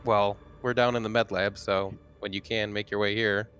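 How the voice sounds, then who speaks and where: A man's voice: fairly low pitch, level tone, moderate pace, fairly bright tone, soft background music. A man bantering casually as a player in a tabletop roleplaying game.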